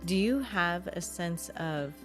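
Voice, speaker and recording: A woman co-hosting a podcast, gently guiding and asking curious questions in casual chat. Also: fairly low pitch, animated, slow pace, soft background music. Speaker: podcast co-host, coach.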